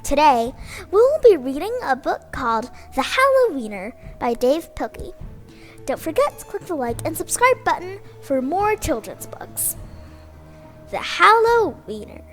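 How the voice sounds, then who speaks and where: A woman reading a children's picture book aloud in an expressive, sing-song storytelling manner. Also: sits high, unhurried, soft background music. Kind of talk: bedtime story read-aloud.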